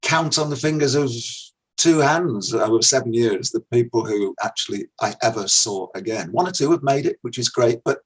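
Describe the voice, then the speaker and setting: A man with a husky voice, reminiscing casually as a guest in an interview. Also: mid-range voice, moderate pace, poor audio.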